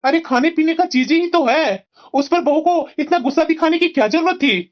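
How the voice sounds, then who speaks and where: A woman's voice: high voice, moderate pace, lo-fi sound, natural voice. A woman voicing a protective elderly husband in a family drama, speaking earnestly and consolingly. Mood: reassuring, indignant. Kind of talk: Hindi family drama story dialogue.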